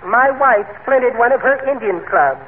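An actor playing a meek, henpecked little poet in a timid, prim, genteel manner, in 1940s radio comedy style. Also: male voice, extremely high pitch, lively intonation, normal speed. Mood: sweetly aggrieved. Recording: noisy, tinny old radio broadcast.

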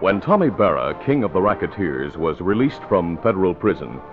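A man's voice: low voice, very animated, brisk. A man announcing and narrating dramatically in the scripted style of old radio. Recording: dull old radio recording. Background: music.

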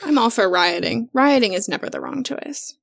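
A woman's voice: a little high, animated, moderate pace, gravelly. A woman co-hosting a podcast, chatting casually and playfully with a guest. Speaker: podcast co-host. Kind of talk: casual interview chat.

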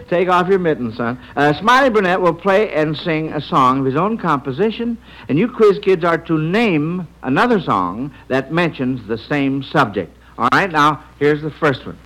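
A folksy man hosts an old-time radio quiz with a bright, forceful voice. His intonation leaps about in an animated way. He sounds jovial and likes to tease. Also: fairly high pitch, moderate pace, rough recording. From Indiana, United States. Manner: jovial, teasing, chuckling often; folksy, addresses children as son and honey. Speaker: quiz show host.

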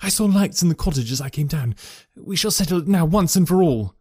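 A man voicing an agitated, anxious Victorian husband who speaks urgently and forcefully in a raised voice. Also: fairly high pitch, expressive, brisk, slightly rough, no room sound, studio quality, pitched above the performer's own voice.

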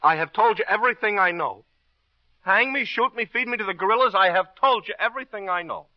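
A man's voice: high voice, a little fast. An actor playing a self-pitying, jittery small-time American man under questioning in an old-time radio crime drama, wisecracking and pleading. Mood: exasperated protest. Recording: dull vintage radio recording.

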